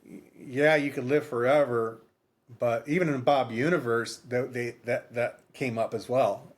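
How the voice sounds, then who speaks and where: Male co-host, mid-range voice, informal and hesitant, reasoning out loud on a talk podcast. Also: medium pitch. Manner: halting, thinking aloud. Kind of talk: casual chat.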